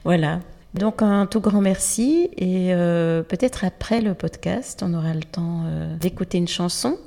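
A woman's voice: lowish voice, unhurried, gravelly, warm tone, in a large hall. A woman thanking partners and introducing a podcast, hesitating with many fillers. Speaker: project leader. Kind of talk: thank-you speech and introduction.

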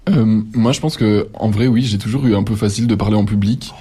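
Young man with a low husky voice. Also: a little fast. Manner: relaxed, slangy, rambling. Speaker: workshop participant. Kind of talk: casual chat.

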